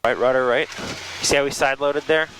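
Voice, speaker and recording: A man working as a flight instructor, giving relaxed, casual coaching to a student pilot in a small plane's cockpit. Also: medium pitch, a little fast. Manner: relaxed, coaching, talks in short bursts. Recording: engine noise in small cockpit.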